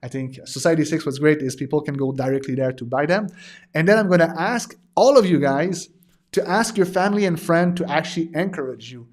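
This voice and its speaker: A man in his thirties speaks in a slightly raised voice. He chats casually to viewers as a digital art teacher, stopping often to say uh and restart. His voice is wearing thin and hoarse. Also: on the high side, fairly quick. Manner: hesitant, many "uh" fillers and restarts. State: losing his voice. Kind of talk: casual livestream talk to viewers.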